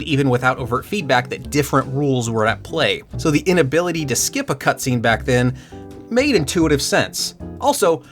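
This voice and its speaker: A man in a mid-pitched voice talking through a scripted video essay about video game design. His delivery is dry and wry, and it jumps up into excited asides. Faint music plays underneath. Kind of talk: scripted commentary with jokes.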